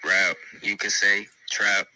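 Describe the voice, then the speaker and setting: Laid-back teen rapper from Venezuela. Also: male voice, on the low side, flat delivery, slow pace, sharp tone, no echo. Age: teens. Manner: laid-back, slangy, mumbled restarts. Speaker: rapper guest. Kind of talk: casual interview answers.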